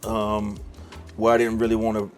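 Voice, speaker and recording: Laid-back low-voiced man, faint music. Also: monotone, brisk. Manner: laid-back, many fillers and restarts. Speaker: songwriter guest. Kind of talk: casual interview talk.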